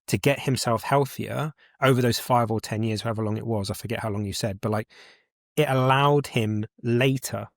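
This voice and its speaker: A man in his forties hosts a podcast, talking in an eager, emphatic way and often repeating a point to drive it home. His voice sits at an average pitch for a man. The recording is dry and close, with no room echo. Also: moderate pace, creaky. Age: 40s. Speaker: podcast host. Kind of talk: casual podcast conversation.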